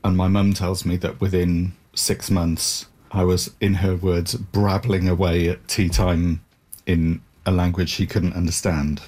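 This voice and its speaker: A man's voice: sits low, flat delivery, measured pace, darkish tone, husky. A middle-aged man, talking reflectively and articulately as an interview guest, in a thoughtful storytelling way. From South Wales.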